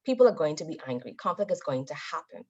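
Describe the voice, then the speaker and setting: A woman speaks with a somewhat low voice in a motivational talk. Her delivery is deliberate and emphatic, like a trained public speaker giving instruction. The audio is slightly dull and lo-fi. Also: sing-song, mellow, breathy edge. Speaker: guest speaker, Toastmasters member.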